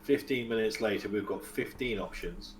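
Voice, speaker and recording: A man's voice: lowish voice, normal speed, a loud crowd. A man making wry, teasing remarks during a game with friends. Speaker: player. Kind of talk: casual chat.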